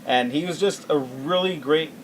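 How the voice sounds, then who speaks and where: Fairly high-voiced man, some background noise. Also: normal speed, rough recording. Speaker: podcast co-host. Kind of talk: casual film commentary.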